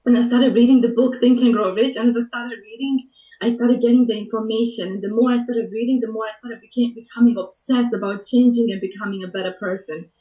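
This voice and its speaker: A woman from Bosnia, a guest telling her personal story, earnest and emotional and softly spoken. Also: on the high side, steady tone, a little fast. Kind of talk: personal story on a talk show. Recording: muffled, roomy audio from a distance.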